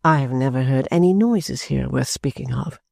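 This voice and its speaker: A man reading an audiobook, voicing an earnest, formal gentleman who speaks in a measured, reassuring way. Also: mid-range voice, gravelly, dry recording, clean studio sound, lightly acted. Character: earnest gentleman, calm, persuasive. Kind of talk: audiobook character voice.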